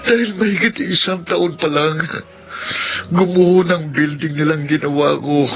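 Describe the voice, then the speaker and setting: A man's voice: a little high, normal speed, lightly acted. A man playing a grieving, guilt-ridden widowed father in a radio drama. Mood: remorseful. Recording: dull lo-fi audio. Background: background music.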